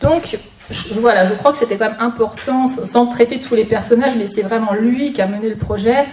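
High-voiced woman lecturing in an echoey room. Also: a little fast, darkish tone. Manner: wry, sarcastic asides. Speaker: guest lecturer, author. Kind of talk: informal public lecture. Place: conference room before an audience. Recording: echoey room, distant mic, noisy.

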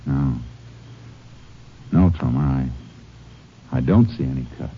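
Stern lawman lead; very deep, commanding, lo-fi radio sound. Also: slow, slight background noise. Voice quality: clipped, commanding. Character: stern male Texas Ranger lawman. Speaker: narrator and lead. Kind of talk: 1940s radio acting. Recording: dull old radio recording.

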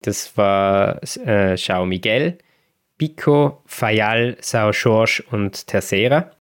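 A man hosting a podcast, telling travel stories in a relaxed, chatty way with small hesitations. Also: fairly low pitch, slow, clean tone. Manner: relaxed storytelling with occasional laughs and hesitations. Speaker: podcast host. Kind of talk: casual podcast chat.

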